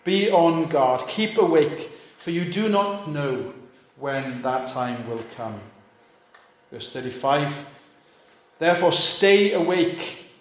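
A man's voice: fairly high pitch, roomy sound. A fifty-year-old male pastor preaching a sermon, urging his listeners on in short, repeated, earnest phrases.

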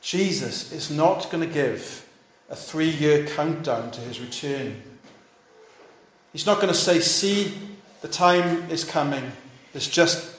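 A man's voice: on the high side, lively intonation, slow pace, fairly bright tone, reverberant, a little hiss or hum, rough recording. A fifty-year-old male pastor preaching a sermon, urging his listeners on in short, repeated, earnest phrases.

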